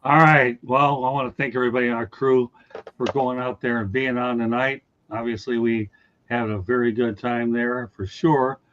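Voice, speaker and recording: A man hosting a casual sports podcast, his voice going a little raspy as he hands off to co-hosts. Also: medium pitch, fairly even intonation, fairly bright tone, muffled recording. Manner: hands off to co-hosts, brisk wrap-ups. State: voice getting raspy. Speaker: podcast host.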